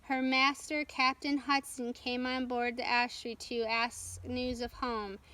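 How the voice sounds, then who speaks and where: A woman's voice: a little high, little pitch movement, measured pace, pushed and bright, no echo, low-quality recording. A woman storyteller reading a children's book aloud as scripted narration.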